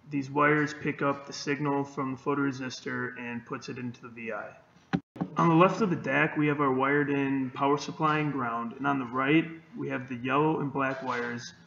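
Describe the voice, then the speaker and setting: A man's voice: mid-range voice, creaky, pushed and bright. A young man narrating a technical demonstration of a student project. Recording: dull, roomy, faint noise.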